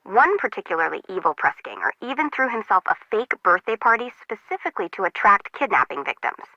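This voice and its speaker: A woman's voice: medium pitch, big pitch swings, a little fast, bright, forceful tone, no room sound, highs cut off, heavily acted. An actress playing a chatty, disorganized, slangy young female harbor worker in a video game, bubbly and wry. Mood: enthusiastic explaining.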